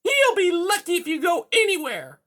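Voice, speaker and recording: A bullying storybook she-cat, shrill and far above an everyday voice. She snarls and sneers her menacing demands with big swoops in pitch. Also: huge pitch swings, moderate pace, fairly bright tone, heavily acted. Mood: sneering, threatening. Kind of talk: children's storybook character voice.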